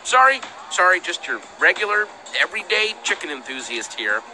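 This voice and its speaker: A man's voice: high voice, sing-song, normal speed, pushed and bright, heavily acted, low-quality recording. An actor playing an earnest, pleading young man, the scrappy hero of an adventure game, talking casually. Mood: sheepish. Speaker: game protagonist. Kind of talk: animated game dialogue. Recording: game music behind the voice.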